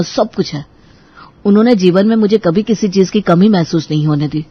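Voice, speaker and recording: A man's voice: fairly high pitch, muffled recording, close to an everyday voice. A man playing an earnest, devoted young suitor, explaining sincerely in an audio story drama.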